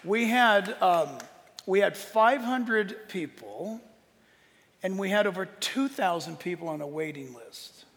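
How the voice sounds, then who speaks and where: A man with a fairly high, creaky voice speaks as a Bible teacher to an audience. His delivery is earnest and emphatic, broken by dramatic pauses. Long reverb from a large hall trails his words. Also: expressive, a bit bright. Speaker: Bible teacher and tour leader. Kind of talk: informal preaching talk to an audience.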